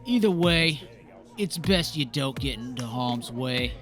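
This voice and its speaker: A man's voice: mid-range voice, expressive, measured pace, a bit bright, soft background music. A man acting an earnest, cautioning friend in a cartoon drama.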